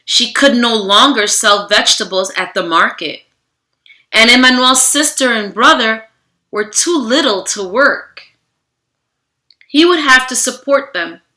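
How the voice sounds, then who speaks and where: Bright, high-voiced woman reading aloud to children. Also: unhurried. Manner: expressive, theatrical, addresses listeners directly. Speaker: teacher reading aloud to students. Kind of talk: children's read-aloud with animated greeting. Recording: roomy, echoing room sound.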